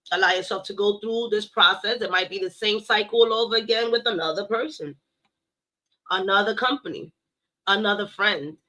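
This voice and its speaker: A woman giving a casual tarot reading to her viewers, playful and blunt, cursing now and then and slowing down to recite affirmations. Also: medium pitch, sharp tone, low-quality recording. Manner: playful, blunt, swears, addresses viewers as y'all. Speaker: tarot reader.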